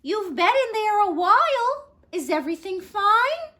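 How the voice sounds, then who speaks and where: Mild, patient presidential wife for a storybook, acted by a woman narrator: high, tentative, gentle. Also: sing-song, unhurried, exaggerated voice. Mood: gentle concern.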